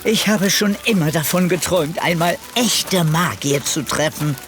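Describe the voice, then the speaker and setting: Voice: mid-range voice, normal speed, a bit bright, natural voice. An actor playing an awestruck inhabitant of a magical otherworld in a fantasy audio drama, with theatrical delivery.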